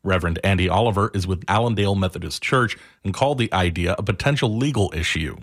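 Low-pitched male radio news reporter. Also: quick pace. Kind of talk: scripted news narration.

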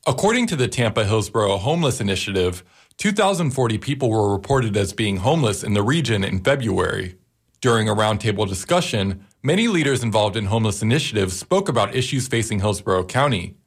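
A man filing a scripted radio news report in a lively, varied delivery. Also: lowish voice, brisk, husky.

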